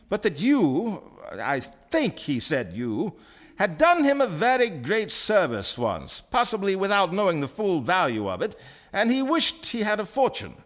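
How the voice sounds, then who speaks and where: A man narrates a scripted story as dramatic radio theatre. His voice is fairly high, with lively, wide movement in pitch. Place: theatre stage, live performance.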